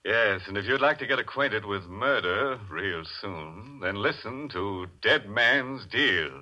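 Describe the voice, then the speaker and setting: An actor playing a gleefully morbid horror host, theatrical and sly in 1940s radio style. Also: male voice, fairly low pitch, animated, unhurried, bright, forceful tone, exaggerated voice. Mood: ominous, teasing. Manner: theatrical, sly, tongue-in-cheek. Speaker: host and announcer. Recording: dull vintage radio, organ-era music behind.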